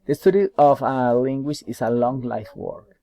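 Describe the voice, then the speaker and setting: A young adult man, a native Spanish speaker with a Spanish accent, answers study questions aloud in English. He is halting and repeats words while searching for them. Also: medium pitch, dark tone, dry recording. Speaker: university English student.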